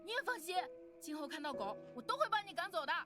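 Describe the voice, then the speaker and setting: An earnest young boy in a fantasy animation, very high and childlike. The voice is emotional, under loud soundtrack music. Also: sing-song, quick pace. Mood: gentle, sincere. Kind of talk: Chinese animation voice acting.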